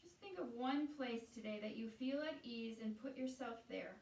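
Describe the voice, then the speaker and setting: A woman guiding a meditation as a teacher, speaking in a soothing, unhurried way with pauses between phrases. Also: on the high side, a little mellow. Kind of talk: guided meditation. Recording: roomy echo, distant from the mic.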